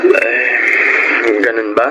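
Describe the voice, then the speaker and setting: A man's voice: lowish voice, little pitch movement, very slow. A man with a Filipino accent playing a kind, understanding schoolteacher in a radio drama. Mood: regretful, kind. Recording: noisy radio drama recording.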